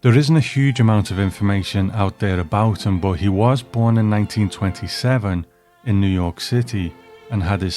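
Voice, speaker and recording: Low-voiced man narrating a review. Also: darkish tone. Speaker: host.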